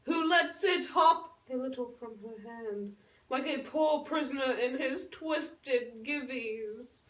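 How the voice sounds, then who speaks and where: A woman playing a lovestruck, earnest young noble girl in a puppet show, speaking Shakespearean verse in a theatrical, drawn-out way. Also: sits high, a bit bright. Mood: reluctant, tender. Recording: roomy echo, distant microphone.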